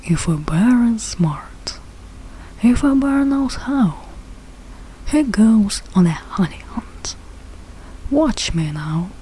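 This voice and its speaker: A woman's voice: medium pitch, big pitch swings, takes it slowly, long pauses, mellow, slight background noise, poor audio. A woman voicing a confident, boastful father bear from a rhyming storybook, speaking in a self-assured, instructive way.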